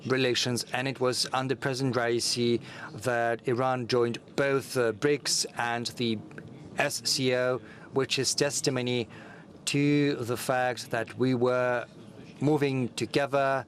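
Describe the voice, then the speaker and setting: A man giving simultaneous interpretation at a press meeting, steady and formal, with frequent filler sounds. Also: medium pitch, unhurried, slight background noise.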